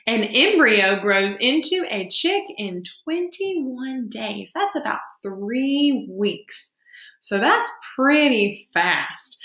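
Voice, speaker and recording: A woman's voice: mid-range voice, a bit bright. A woman teacher doing an interactive read-aloud for young children, explaining simply and expressively with questions along the way. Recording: roomy, echoing sound.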